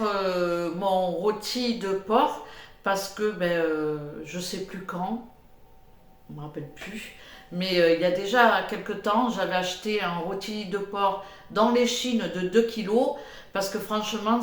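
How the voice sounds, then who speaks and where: Older woman, head cold, chatty, rambling, middle pitch, echoey room. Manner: rambling, chatty, many filler pauses. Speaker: cooking vlogger. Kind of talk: casual chatty vlog monologue.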